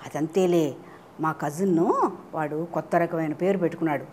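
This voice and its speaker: A woman's voice: low voice, moderate pace, darkish tone, slight background noise, pitched below the performer's own voice, close to an everyday voice. A woman storyteller voicing a sly, greedy little mouse in a children's tale, coaxing and chatty. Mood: breezy, evasive.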